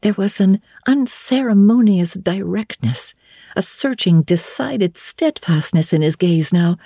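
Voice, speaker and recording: A woman narrating a classic novel as a dramatic reading, with measured, deliberate and formal phrasing. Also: mid-range voice, lively intonation, warm tone, slightly rough, dry recording, studio quality. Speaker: audiobook narrator.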